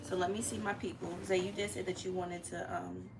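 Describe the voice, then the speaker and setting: A woman's voice: fairly low pitch, little pitch movement, brisk, vocal fry. A young woman hosting a family live stream in a chatty, familiar, slangy way from a living room. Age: young adult. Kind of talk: casual live-stream chat. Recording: noticeable room noise.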